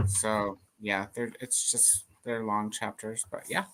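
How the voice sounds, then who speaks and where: A co-host chats casually on a reading stream and keeps restarting half-finished sentences. The voice is bright and sharp, with soft laughs between thoughts. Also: mid-range voice, steady tone, slow, a little hiss or hum. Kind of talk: casual chat.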